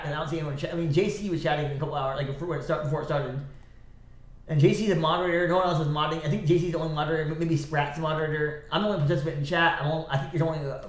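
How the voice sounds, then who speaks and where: A man rambling alone on a livestream, halting and restarting, with a stuffy nose and a slightly scratchy throat. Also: on the high side, echoey room. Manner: rambling, halting, many restarts and self-corrections. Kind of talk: rambling solo livestream chat.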